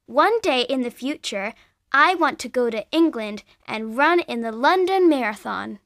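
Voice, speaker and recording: A woman voicing a cheerful, sporty eleven-year-old girl in scripted coursebook audio, speaking slowly and clearly. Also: high-pitched, lively intonation, fairly bright tone, dry recording, clean studio sound, heavily acted. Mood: friendly. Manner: slow, clear, careful delivery. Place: recording studio.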